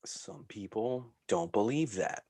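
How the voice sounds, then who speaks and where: A man's voice: on the low side, animated, slow, a little mellow, dry recording. A man in his late thirties with a head cold, talking bluntly and offhandedly as a podcast co-host. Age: 30s. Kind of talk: casual chat.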